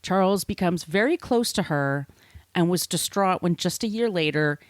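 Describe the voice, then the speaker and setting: A woman's voice: on the low side, expressive, normal speed, no room sound, studio quality. An American woman hosting a podcast, telling a story from notes conversationally, with informal, wry asides. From United States. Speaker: podcast host.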